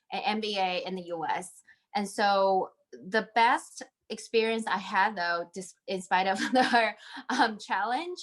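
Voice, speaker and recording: A woman's voice: medium pitch, a bit bright. A woman in her thirties from Taiwan, giving conversational advice as an interview guest on a video call. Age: 30s. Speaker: interview guest, MBA graduate. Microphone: video call microphone.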